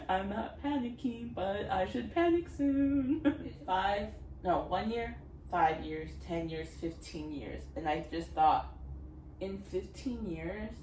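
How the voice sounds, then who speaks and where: A woman's voice: mid-range voice, lively intonation, takes it slowly, warm tone. A woman in her twenties chatting casually as a vlogger at home, rambling, filling her talk with "like" and laughing often. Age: 20s. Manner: rambling, giggly, lots of "like". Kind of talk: casual chat. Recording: roomy, distant mic, background noise.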